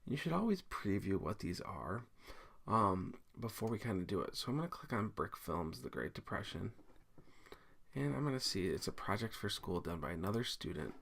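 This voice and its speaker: A man with a very low, creaky voice teaches a software task in a casual screencast style. His pitch moves around a lot as he thinks aloud, informally explaining one step after another. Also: big pitch swings, normal speed. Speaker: teacher giving a software tutorial. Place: indoors at a computer, screen recording.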